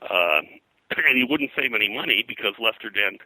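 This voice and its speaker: An American man with a lowish, sharply bright voice, recorded dry and close. He talks as an expert guest in an interview, piling up details and drifting into side stories as he goes. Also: expressive, normal speed, dry recording, low-quality recording. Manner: rambling, digressive, detail-heavy. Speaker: interview guest, pop culture historian and publisher. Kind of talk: conversational interview, telling detailed anecdotes.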